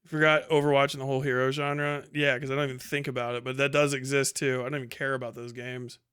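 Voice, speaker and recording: A man's voice: mid-range voice, little pitch movement, fast talker, a bit bright, smooth. A man giving casual, rambling livestream commentary, talking bluntly with lots of swearing and slang. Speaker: livestream host reacting to a video.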